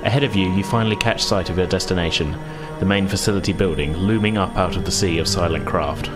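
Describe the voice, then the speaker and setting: A man's voice: deep voice, quick pace, over music. A man narrating a tabletop roleplaying game as game master, descriptive and conversational.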